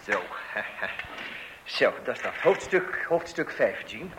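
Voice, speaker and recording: A man playing a cocky, excitable husband and amateur handyman with a blustering manner in a radio play. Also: medium pitch, slow pace. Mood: self-satisfied. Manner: self-satisfied, blustering, repeats himself. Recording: noisy, echoey old radio recording.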